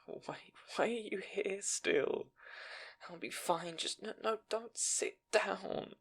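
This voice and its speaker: A man acting as a timid young fantasy scribe, full of self-doubt. He speaks slowly and softly, halting and stammering as he goes, in an intimate audio roleplay style. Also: high-pitched, vocal fry, breathy edge, full character voice. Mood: distressed, self-doubting. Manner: nervous, halting, stammering, soft-spoken.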